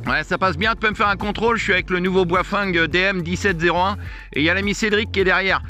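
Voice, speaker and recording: Guy on a walkie-talkie, fairly high voice, quick, loud radio noise behind. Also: over loud music. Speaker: amateur radio operator. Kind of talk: radio contact chat.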